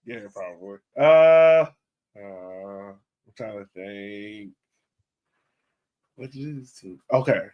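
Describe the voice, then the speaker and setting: A man's voice: fairly low pitch, slow, pauses often, muffled recording. A man co-hosting a soccer podcast in casual chat, laid-back and thinking aloud, often trailing off. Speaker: podcast co-host.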